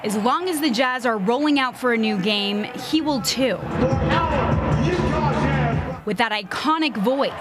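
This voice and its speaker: A woman's voice: on the high side, animated, unhurried, long pauses, over loud music. A woman TV reporter reading scripted narration for a news package.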